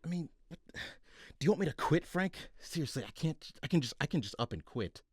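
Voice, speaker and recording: A man's voice: a little high, big pitch swings, a little fast, a little mellow, slightly rough, no echo, studio quality. A man playing a disgruntled, theatrical radio announcer in a parody of old serials, switching between grandiose and petulant.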